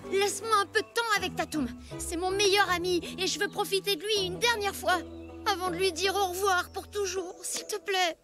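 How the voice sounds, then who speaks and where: A woman voicing an earnest, excitable and easily flustered young boy in a children's cartoon. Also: very high-pitched, moderate pace. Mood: pleading. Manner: hesitant, pleading, excitable. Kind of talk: French animated children's cartoon dubbing. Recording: music under the voice.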